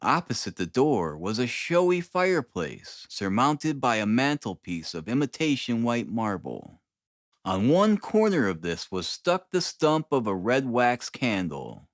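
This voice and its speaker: A man narrating a classic story as an audiobook, in a measured, deliberate, dramatic reading style. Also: mid-range voice, sing-song, no echo, clean studio sound. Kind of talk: dramatic scripted literary narration.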